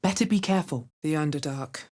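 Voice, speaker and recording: Video game adventurer woman, husky, lowish pitch, wary, dry, measured, fantasy spellcaster. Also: darkish tone. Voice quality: husky, bass-heavy. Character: guarded, low-voiced adventurer woman, player hero who casts spells.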